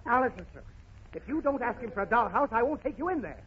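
A man's voice: extremely high pitch, sing-song, quick pace. An actor playing an exasperated, coaxing father of a naughty little girl in a 1930s radio comedy. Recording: muffled, noisy 1930s radio recording.

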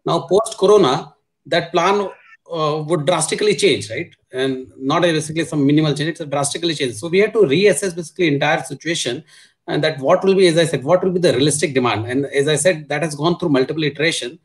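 A man's voice: a little high, quick pace. A middle-aged man with an Indian accent explaining business matters as a panelist on a video call, leaning on repeated filler words. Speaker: panelist, supply chain executive. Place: indoor room on a video call.

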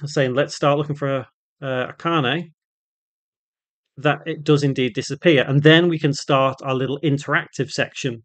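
Man presenting tutorial, slightly higher-pitched voice. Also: a bit bright. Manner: instructional, thinking aloud. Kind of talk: unscripted step-by-step software tutorial voice-over.

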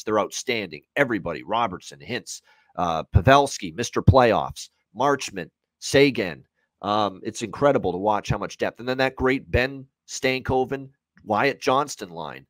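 A man in his thirties with a fairly low voice talks sports betting off the cuff. He is emphatic and opinionated, repeating phrases to hammer points home. The recording is close and dry. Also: no echo. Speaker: sports betting analyst on a show. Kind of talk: opinionated unscripted hockey betting commentary. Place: indoor room at home, on a video call.

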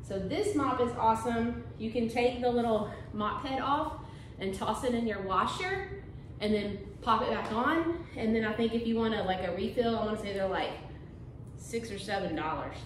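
A woman's voice: fairly high pitch, moderate pace, echoey room, background noise. A woman, chatting casually to camera about cleaning in her living room. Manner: chatty, homey, fillers like "like" and "um". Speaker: cleaning vlogger. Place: carpeted-free living room of a house, indoors.

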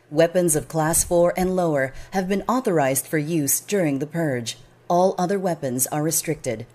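A woman voicing a cold, formal female emergency broadcast announcer with a measured, solemn delivery. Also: lowish voice, dark tone. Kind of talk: solemn scripted public announcement.

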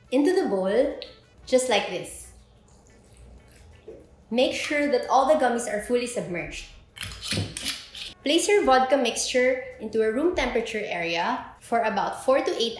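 A woman, presenting a step-by-step drink tutorial as host in an indoor kitchen. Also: fairly high pitch. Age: 20s. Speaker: host of a drink recipe video. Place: indoor kitchen with bare walls. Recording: echoey room with background music. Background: music.

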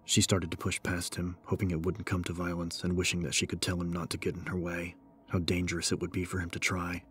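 A man's voice: sits low, completely flat delivery, fairly quick, fairly bright tone. A man narrating a horror audiobook in a scripted, dramatic style. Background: background music.

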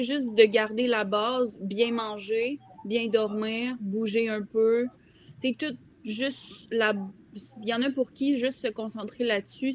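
A young woman with a Québécois French accent, chatting casually in a group discussion over a video call. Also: fairly high pitch. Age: young adult. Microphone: computer mic on video call. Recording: noisy video call, room ambience.

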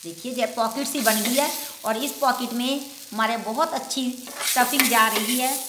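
Woman cooking show host, high bright voice, walks you thru a recipe step by step at home, echoey kitchen w sizzling pan behind. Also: moderate pace, background noise. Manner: homely step-by-step explaining with filler "ji".

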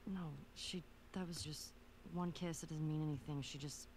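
An actress playing a guarded young woman, speaking in clipped, hushed lines in a video game cutscene. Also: low-pitched, steady tone, normal speed, lightly acted. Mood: flustered. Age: young adult. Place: night porch. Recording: game audio with clear background noise.

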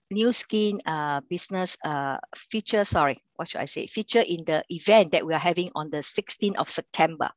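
A middle-aged woman from Singapore with a Singaporean accent, running an online sales training over a video call, talking haltingly with many fillers and restarts. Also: lowish voice, no room sound. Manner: halting delivery with frequent fillers and restarts, quizzing the audience. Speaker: account manager and sales trainer.

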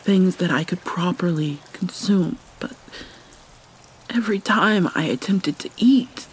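A woman playing an anguished, proud vampire mermaid princess in a dramatic ASMR roleplay, soft, breathy and halting, often near a whisper. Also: lowish voice, poor audio. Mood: anguished, haunted. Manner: halting, theatrical, mournful. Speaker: roleplay voice actor.

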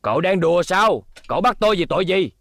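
A man's voice: high-pitched, lively intonation, quick pace, fairly bright tone, full character voice. A man voicing a smug, calculating middle-aged villain in a dubbed cartoon. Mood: smug, feigned surprise. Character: scheming middle-aged male hotel manager, secret gang boss.

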